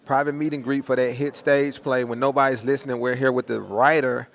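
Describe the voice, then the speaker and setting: Gravelly low-pitched man hosting with slangy hype. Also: steady tone, brisk. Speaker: host and interviewer. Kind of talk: casual promotional interview with slang.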